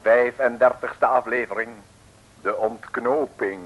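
A man's voice: medium pitch, steady tone, measured pace, slight background noise, low-quality recording. A man narrating a 1950s radio serial from a script in a wry, droll manner.

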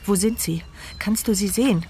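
A woman's voice: medium pitch, normal speed, dark tone, natural voice. An actress playing a mute, paralysed woman who narrates her anxious thoughts in an intimate, intense radio drama monologue. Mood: alarmed.

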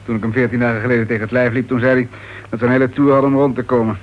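A man's voice: medium pitch, level tone, very fast, close to an everyday voice. An actor playing an irritable, self-important male writer in a radio play, with an impatient, grumbling manner. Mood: grumbling, sarcastic. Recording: dull, noisy old radio play recording.

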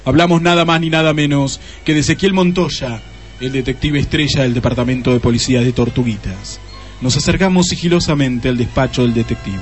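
A man's voice: medium pitch, close to an everyday voice. Man as a mock-solemn Argentine radio play narrator. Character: grave, melodramatic male radio play narrator. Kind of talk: parody radio drama narration.

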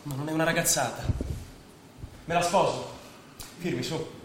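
Actor as a stubborn young fiancé, very echoey. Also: male voice, fairly high pitch, fairly even intonation, normal speed, natural voice. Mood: insistent. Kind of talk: staged radio drama acting in Italian. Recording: very echoey, distant stage recording, faint noise.

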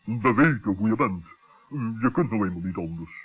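Man as a husky, fatherly wise owl. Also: fairly low pitch, lively intonation, normal speed. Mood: soothing. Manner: admonishing yet kindly. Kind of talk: children's radio play acting. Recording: dull old radio recording.

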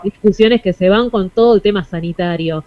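A woman's voice: medium pitch, brisk. A woman commenting conversationally as a radio co-host. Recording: muffled lo-fi, dry sound.

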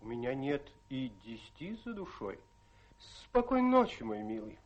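An actor playing a lively, sociable society gentleman in a radio play, with an effusive, curious manner. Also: mid-range voice, moderate pace. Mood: chatty. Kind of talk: Russian radio play acting. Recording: dull recording, faint music and crowd.

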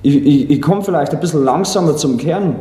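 A man with a fairly high, mellow voice speaks emphatically and repeats himself. The room echoes and there is faint noise. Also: brisk. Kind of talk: spontaneous emphatic remark.

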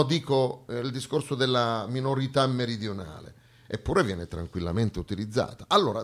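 A man performing a satirical, colloquial theatre monologue on stage, ironic and full of rhetorical questions. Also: mid-range voice.

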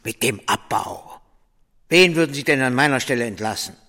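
An actor playing a bullying, sly merchant boss in a radio drama, curt and domineering, in a reverberant space. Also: male voice, medium pitch, expressive, normal speed, pushed and bright. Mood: sly, menacing. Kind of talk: German radio play acting.

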